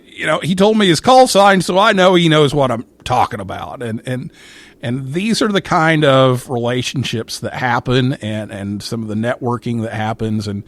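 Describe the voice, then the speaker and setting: A man hosting a casual live talk show, speaking in a halting way and often repeating his words. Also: mid-range voice, expressive, fairly bright tone, no echo. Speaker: radio show host.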